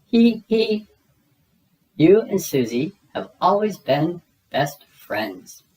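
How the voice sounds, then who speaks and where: Woman as a good-humored father pig for a storybook, low and relaxed. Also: drawn-out words, exaggerated voice, poor audio. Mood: jolly. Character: jolly easygoing father pig.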